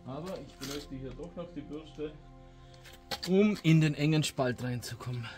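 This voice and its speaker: A man's voice: fairly high pitch, moderate pace, dark tone, soft background music. A man, casually explaining a repair job in his garage workshop, with hesitant fillers. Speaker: DIY video host. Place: home garage workshop, indoors. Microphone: camera mic, close then farther as he works on the seat.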